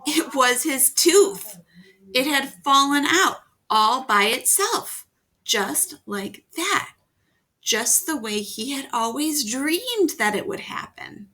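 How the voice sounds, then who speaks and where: A woman reading a children's picture book aloud, gently pausing to ask her young listeners questions. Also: high voice, big pitch swings. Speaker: storytime reader.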